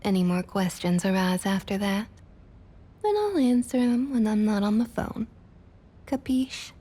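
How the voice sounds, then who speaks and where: A woman playing a domineering, condescending vampire councilwoman with a Southern US accent, in a breathy, intimate ASMR roleplay with a drawling delivery. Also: mid-range voice, lively intonation, a little slow. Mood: stern, quietly menacing. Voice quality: husky, breathy, often half-whispered. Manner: drawling, condescending, quietly threatening. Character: domineering female vampire council member, centuries-old, coolly possessive Southerner. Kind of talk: intimate ASMR roleplay, scripted character acting.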